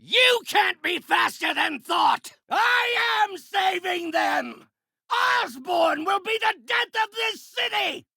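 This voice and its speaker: An older mad-scientist supervillain from a video game, arrogant and vengeful. He shouts in an extremely high-pitched, harshly strained and heavily gravelled voice, full of theatrical contempt. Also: big pitch swings, normal speed, hardly any pauses, dry recording, clean studio sound, full character voice. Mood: furious. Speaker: voice actor. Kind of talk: video game villain acting.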